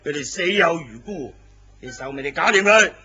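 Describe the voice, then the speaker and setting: A man's voice: fairly high pitch, animated, normal speed, sharp tone, breathy edge, exaggerated voice. A man voicing a ruthless crime boss in a radio drama, sneering and menacing.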